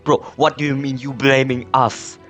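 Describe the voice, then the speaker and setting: A young man giving casual, sarcastic game commentary, halting and repeating his words. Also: mid-range voice, level tone, fairly bright tone. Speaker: gamer recording a playthrough. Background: faint game music.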